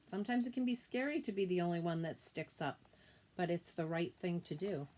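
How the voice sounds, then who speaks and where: A woman's voice: fairly low pitch, lively intonation, a little fast, a little mellow. Woman reading aloud, noisy recording. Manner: explanatory, simple phrasing aimed at young children. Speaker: teacher reading a picture book aloud. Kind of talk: picture book read-aloud with informal commentary on the illustrations.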